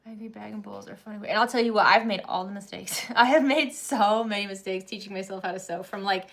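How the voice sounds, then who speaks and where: A woman's voice: mid-range voice, fast, creaky, fairly bright tone. A woman in her thirties hosting a sewing channel, chatting casually with many restarts and frequent laughs. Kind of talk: casual livestream chat.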